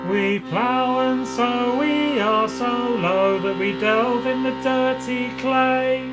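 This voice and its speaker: A woman's voice: on the high side, slow, low-quality recording. A woman singing a folk protest song. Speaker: folk singer. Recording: loud plucked guitar music behind. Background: acoustic guitar.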